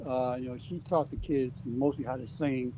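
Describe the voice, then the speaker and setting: A man's voice: mid-range voice, steady tone, normal speed, dark tone. An older man on a dull, faintly noisy phone line as a call-in guest. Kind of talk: casual phone conversation.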